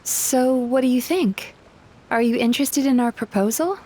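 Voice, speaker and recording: A woman voicing a friendly, composed yokai therapist in a video game, polite, measured and reassuring. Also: high voice, mellow, a little hiss or hum, natural voice. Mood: poised, inviting. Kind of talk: scripted video game dialogue.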